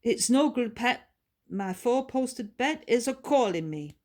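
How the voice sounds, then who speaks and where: Storybook older woman, acted by a female reader, hearty, folksy, lilting dialect, affectionate, raised pitch. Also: expressive, takes it slowly, darkish tone.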